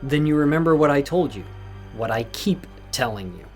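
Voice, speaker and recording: A man's voice: on the high side, expressive, natural voice. Stern, imperious overlord played by a man. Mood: imperious, knowing. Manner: deliberate, imperious, reproving. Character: stern, displeased, domineering master with power over the arena. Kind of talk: audio drama acting. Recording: music bed under the voice.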